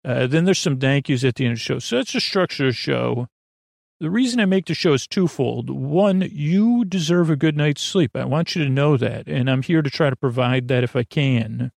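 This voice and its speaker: A man with a creaky, gravel-edged voice hosts a soothing bedtime podcast in a very dry, close recording. He speaks gently and reassuringly, wandering through halting sentences that double back on themselves and trail off. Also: medium pitch, lively intonation, no echo. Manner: meandering, halting, self-interrupting sentences that trail off. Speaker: podcast host.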